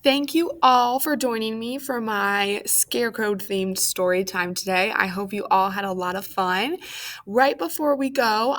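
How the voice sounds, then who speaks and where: A woman's voice: mid-range voice, fairly bright tone. An American woman speaking as a children's librarian at storytime, encouraging and addressing young listeners as friends.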